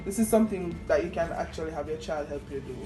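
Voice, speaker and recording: A young woman with a Jamaican accent chatting casually in Patois as a teasing, animated cooking co-host. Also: sits low, fast, echoey room. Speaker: cooking vlog co-host, the daughter. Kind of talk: casual kitchen chat. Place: home kitchen. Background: music.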